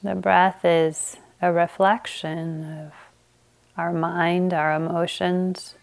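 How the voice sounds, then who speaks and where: A woman meditation teacher leading a guided meditation, speaking gently with long pauses. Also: on the low side, flat delivery, creaky.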